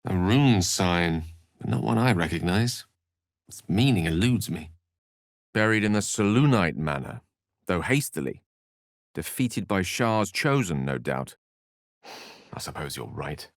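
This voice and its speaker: Casting: learned, earnest fantasy game wizard; theatrical, wordy and formal delivery with animated pitch, recorded dry. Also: male voice, a little slow, long pauses, dry recording. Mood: pensive. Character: learned, courtly male human wizard, eloquent and earnest. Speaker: video game voice actor. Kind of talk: scripted fantasy game dialogue.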